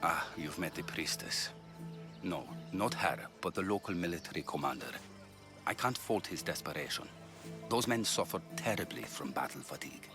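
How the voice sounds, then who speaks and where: An actor playing an earnest, humane middle-aged physician of ancient Greece in a video game, speaking in a measured, formal way. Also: male voice, deep voice, lively intonation, over music. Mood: grave, pleading. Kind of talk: cinematic video game acting.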